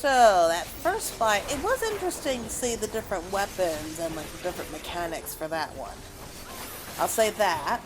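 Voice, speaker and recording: A woman's voice: medium pitch, sing-song, moderate pace, noisy background. A woman giving casual gameplay commentary, rambling and thinking aloud as she plays. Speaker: gamer, let's play host. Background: game music.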